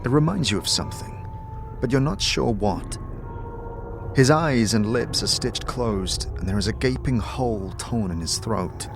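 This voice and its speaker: A man with a fairly low voice narrates dark fantasy over a clear music bed. He takes his time, pacing each phrase slowly and deliberately. The ends of his lines often drop to a hush. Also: lively intonation. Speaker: narrator and game master. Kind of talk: dramatic second-person fantasy narration.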